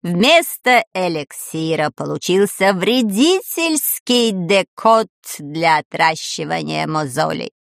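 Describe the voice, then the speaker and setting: A man's voice: sits high, sing-song, gravelly, a bit bright, no room sound, clean studio sound, voice pushed down, exaggerated voice. A woman voicing a pompous, scornful male sorcery professor with a foreign accent and broken grammar, drawing out the words condescendingly. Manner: condescending, drawn-out, mocking.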